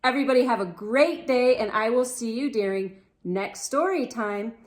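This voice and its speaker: A woman storyteller reading aloud for children in an expressive, theatrical way. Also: a little high. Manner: theatrical, deliberate delivery with dramatic gasps and exclamations. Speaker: storyteller, channel host.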